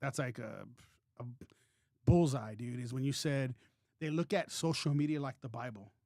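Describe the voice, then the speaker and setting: A man's voice: medium pitch, moderate pace, a little mellow, no room sound, studio quality. A man co-hosting a podcast in casual chat, rambling and joking with many restarts. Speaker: podcast co-host.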